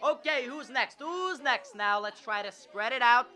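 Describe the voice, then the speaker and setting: A teenage girl acting an earnest, excitable young family man in a radio drama, with a halting, folksy manner. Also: fairly high pitch. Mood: generous, eager. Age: teens. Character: earnest, excitable young family man running small-town loan office. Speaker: student radio drama actor.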